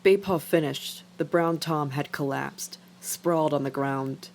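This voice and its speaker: A woman's voice: sits low, steady tone, a little slow, a little mellow, slight background noise. A woman reading scripted fiction as a dramatic narrator. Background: music.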